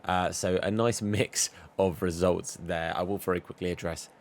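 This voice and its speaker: A man with a hoarse voice, losing it, giving chatty, upbeat commentary over a football video game and talking straight to his viewers. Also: sits low, fairly even intonation, normal speed. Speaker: gaming YouTuber. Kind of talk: casual gameplay commentary.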